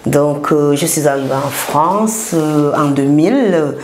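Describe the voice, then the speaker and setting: A woman from southern Cameroon with a Cameroonian accent, a therapist explaining her work in an unscripted interview, in halting phrases with pauses. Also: sits low, darkish tone, a little hiss or hum. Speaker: massage therapist and business owner, interview guest.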